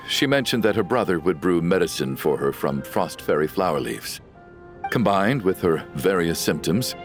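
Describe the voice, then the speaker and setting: Grieving game father, stubborn and anguished, wide swings in pitch, faint score behind. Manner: anguished, pleading, rising to shouts. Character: grieving middle-aged father, stubborn and desperate. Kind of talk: video game voice acting. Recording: game audio with faint music.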